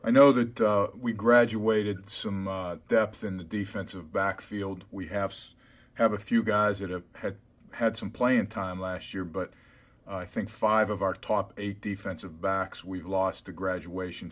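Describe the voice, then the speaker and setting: An American man, a college football head coach, answering media questions on a conference call in measured, hesitant phrasing. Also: deep voice.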